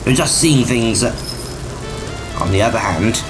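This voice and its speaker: A man playing an ancient, wry and worried time-travelling alien in an audio drama, speaking in a measured, grave way. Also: fairly low pitch, lively intonation, over music, rough recording. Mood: alarmed.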